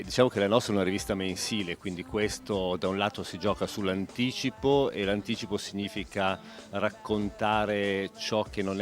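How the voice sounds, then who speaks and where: Man, very low voice, film magazine representative, explanatory phrasing, faint background music. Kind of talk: interview answer.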